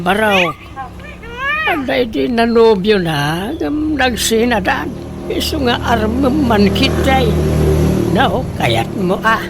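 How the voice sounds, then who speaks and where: A woman's voice: mid-range voice, very noisy. A woman playing a meddling older relative in a radio drama, chatty and persuasive as a matchmaker.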